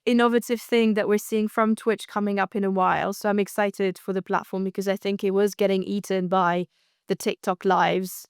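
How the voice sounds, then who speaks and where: A woman's voice: medium pitch, level tone, moderate pace, clean tone, dry recording, studio quality. A woman co-hosting a casual podcast, chatting loosely with lots of fillers and restarts. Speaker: co-host.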